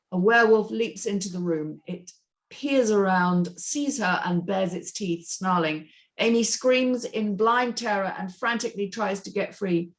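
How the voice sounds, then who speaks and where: A woman's voice: mid-range voice, low-quality recording. A woman reading a screenplay's stage directions as scripted narration.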